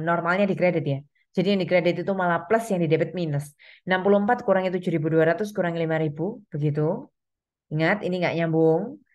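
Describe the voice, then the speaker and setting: A woman lectures to students in a slightly low, very mellow voice. She explains things step by step, often pausing on reminders and small check-ins to keep listeners following. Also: dull audio. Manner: instructional, step-by-step, with frequent reminders and check-in tags. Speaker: accounting lecturer.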